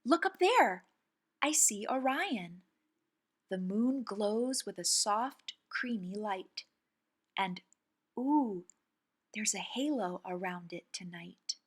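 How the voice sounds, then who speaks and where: Female children's read-aloud host, expressive and slow-paced, mid-pitched voice with animated melody. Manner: animated, clearly phrased delivery for young children. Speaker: children's story reader and host.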